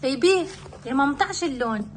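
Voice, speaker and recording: A woman's voice: high-pitched, expressive, fast talker, a little hiss or hum. A woman with a Lebanese accent, a mother talking casually in a homemade kids' craft video.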